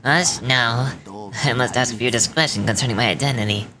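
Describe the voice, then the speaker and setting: An actor playing a modest, genial elderly official with a gentle, unhurried way of speaking. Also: male voice, mid-range voice, a crowd in the background, lightly acted. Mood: courteous. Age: older. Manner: gentle, unhurried, self-effacing. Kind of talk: game voice acting. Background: game music.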